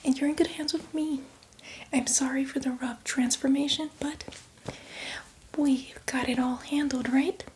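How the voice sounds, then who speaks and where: A woman's voice: sits high, fairly even intonation, measured pace, darkish tone, slight background noise. Woman as a whispering, eager zombie mentor. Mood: encouraging. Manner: breathy, coaxing whisper with playful asides. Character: hardcore female zombie, eager, teasing, soothing mentor. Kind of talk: whispered ASMR roleplay.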